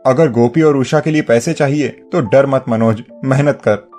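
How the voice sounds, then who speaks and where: An actor playing a humble, honest poor village carpenter, deferential and pleading, in a dramatized moral story. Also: male voice, medium pitch, normal speed, darkish tone, faint music behind, lightly acted. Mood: determined.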